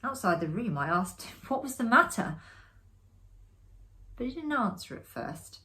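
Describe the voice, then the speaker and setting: Woman, amateur actor, performed story reading, expressive pauses, measured storytelling. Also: on the high side.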